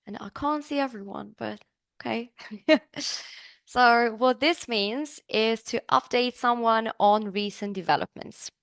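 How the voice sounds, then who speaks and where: A woman's voice: on the high side, measured pace, gravelly, no echo, clean studio sound. A woman from Serbia, teaching business English in a live online class, upbeat and chatty, asking the class questions.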